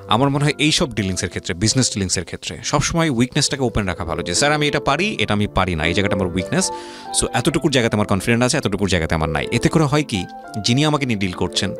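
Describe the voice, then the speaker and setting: A man hosting a conversational interview, affirming and switching into English. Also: fairly low pitch. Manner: affirming, short responses, switches between Bengali and English. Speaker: interview host.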